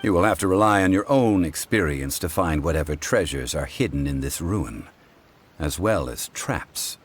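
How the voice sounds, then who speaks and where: Very low, unhurried scholar-consultant, game dialogue, lively contour, light score behind. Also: male voice, slight background noise. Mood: steady, measured. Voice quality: measured, formal and deliberate. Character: calm ancient male consultant, scholarly, composed. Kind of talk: video game voice acting. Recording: game score playing faintly.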